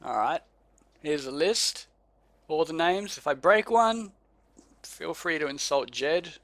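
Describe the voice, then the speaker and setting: Australian man, podcast co-host, slow and easygoing, dry close sound. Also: on the high side, expressive, a bit bright, no room sound. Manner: laid-back, chuckling, stumbles over names. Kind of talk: casual chat.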